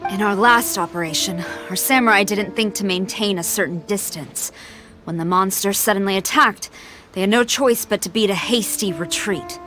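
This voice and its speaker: An actress playing a formal, dutiful female samurai general in a video game, measured and authoritative. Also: medium pitch, fairly bright tone, faint music behind, natural voice. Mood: firm.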